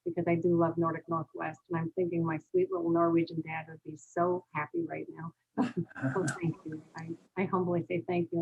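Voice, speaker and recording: A woman accepting an award over a video call, humble and overwhelmed. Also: fairly low pitch. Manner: humble, overwhelmed, flat delivery. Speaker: award winner. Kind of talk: emotional thank-you speech. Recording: tinny phone-like video call audio.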